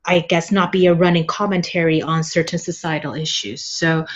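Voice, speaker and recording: A woman's voice: sits low, steady tone, normal speed, dull audio. A woman guest on a podcast, a translator talking casually about a novel she works on, often laughing and dotting her talk with fillers.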